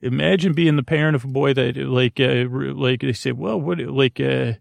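A man in his forties with a creaky voice, hosting a sleep podcast in a rambling, stammering monologue that often trails off mid-thought. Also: mid-range voice, normal speed, no echo. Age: 40s. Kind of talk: rambling, meandering bedtime monologue.

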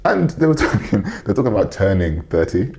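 A man's voice: on the low side, big pitch swings, brisk, creaky, noisy background. A man in his late twenties co-hosting a podcast in casual chat, rambling with lots of fillers and quoting people in little acted-out bits. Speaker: podcast co-host.

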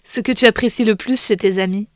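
Softly chatty woman interviewer. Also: medium pitch, fairly quick, no room sound. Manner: chatty, supportive, soft asides. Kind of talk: conversational interview.

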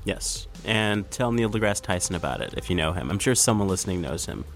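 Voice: low-pitched, expressive, a little fast, faint music behind. A podcast co-host and editor joking and chatting casually, laughing often. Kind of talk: casual chat.

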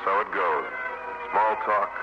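A man playing a weary American soldier who narrates his war in a measured, wistful way, in a 1950s radio drama. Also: fairly low pitch. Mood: reflective. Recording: muffled radio broadcast with loud music.

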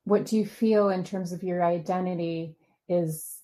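A woman's voice: on the low side, steady tone, measured pace, mellow. A woman co-hosting a podcast, interviewing a guest in a friendly, encouraging way. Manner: friendly, encouraging, with short backchannel replies.

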